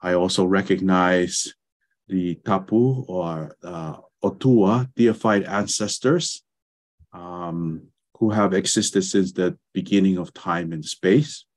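A man's voice: low-pitched, a little mellow. A middle-aged man from Tonga with a Tongan accent, giving a lecture over a video call, speaking hesitantly with many fillers and restarts. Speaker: guest presenter, anthropology professor. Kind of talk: online lecture with slides. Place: home study with bookshelves.

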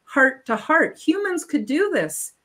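An older woman speaks as a guest on a video call, her voice sitting a little high. She rambles, restarting sentences and leaning on fillers as she explains. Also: big pitch swings, measured pace, pushed and bright. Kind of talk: casual interview talk. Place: home room on video call.

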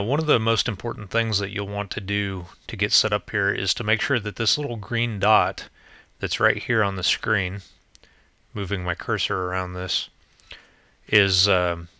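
Man, low pitch, very bright crisp-edged tone, dry close recording, plainspoken tutorial narration, step-by-step. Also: fairly even intonation, unhurried, dry recording. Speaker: tutorial presenter. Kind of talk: explanatory software demo narration, informal.

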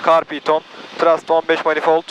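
A man's voice: a little high, monotone, moderate pace, a bit bright, poor audio. A young man flying as a student pilot, saying each action and radio call aloud in a small plane cockpit over a headset. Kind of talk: radio calls and callouts in flight. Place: small airplane cockpit in flight. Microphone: aviation headset. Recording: cockpit intercom with engine hiss.

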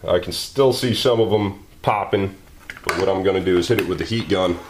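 A man's voice: deep voice, fairly quick, background noise. A man hosting a maker channel, chatting and joking casually as he works in his garage workshop. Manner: joking, exclaiming and thinking aloud while working.

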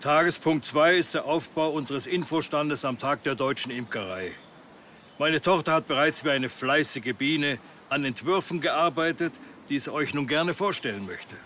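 A man's voice: a little high, normal speed, sharp tone, slight background noise. An actor playing a formal, courteous middle-aged beekeepers' club chairman in a TV crime comedy. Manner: formal, polite.

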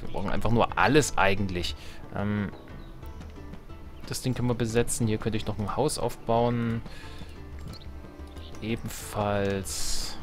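Low-voiced man commentating a game. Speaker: let's player. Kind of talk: casual off-the-cuff gameplay commentary.

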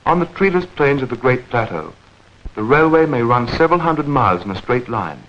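A man narrating a 1940s educational newsreel in a measured, clearly enunciated, explanatory manner. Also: medium pitch, lively intonation. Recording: dull vintage 1940s film soundtrack.

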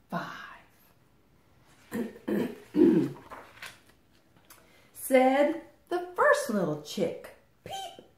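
A woman in her sixties reads a picture book aloud as a storytime host. Her delivery is extremely unhurried and melodic, phrased carefully for small children. It is recorded in an echoey living room. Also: mid-range voice. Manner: deliberate, expressive, sing-song phrasing for small children. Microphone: camera a short distance away. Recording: roomy home recording.

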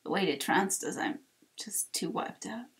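A woman's voice: medium pitch, expressive, unhurried, fairly bright tone, husky, in a whisper, exaggerated voice. A woman voicing a teasing little villain henchman monster in a video game, drawling and needling. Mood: weary.

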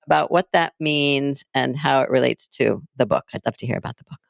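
A woman's voice: rumbling low, a little fast, gravelly, no echo. A woman speaking conversationally as a podcast host conducting an interview.

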